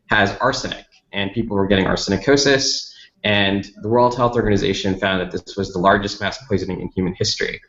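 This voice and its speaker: An American man with a fairly low voice explains things as an interview guest. He is articulate and methodical, building his account step by step. The sound is clearly roomy and a little dull. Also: a bit bright. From Dallas, United States. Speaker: company founder, interview guest. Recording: roomy, slightly dull lo-fi audio.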